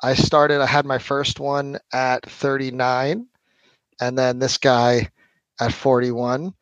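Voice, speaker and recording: A man in his forties, a podcast guest, telling his story in a relaxed, casual way with lots of fillers. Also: mid-range voice, slow, dry recording, lo-fi sound. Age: 40s. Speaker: podcast guest, marketing executive. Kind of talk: casual interview chat.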